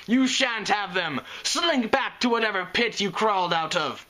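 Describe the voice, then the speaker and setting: Shrill trembling unicorn mare in a storybook reading, way up high and swinging wildly, done by the narrator. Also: sing-song, measured pace, fairly bright tone, exaggerated voice. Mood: shrieking. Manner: shrill, tremulous, melodramatic. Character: frantic adult unicorn mare stallkeeper.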